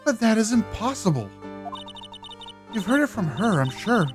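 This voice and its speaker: A calm, confident female mentor and lawyer in a visual novel game, played by a woman. The delivery is measured and instructive, with lively intonation at a middle pitch. Soft music sits behind. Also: close to an everyday voice. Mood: explaining.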